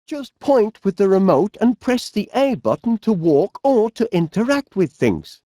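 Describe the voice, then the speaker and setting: A man's voice: sits high, big pitch swings, a little slow, dark tone, no room sound, studio quality, full character voice. A man voicing a cheerful, fussy middle-aged inventor with a Northern English accent in a video game, genial and bumbling. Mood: chirpy, explaining. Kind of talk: animated video game character voice lines.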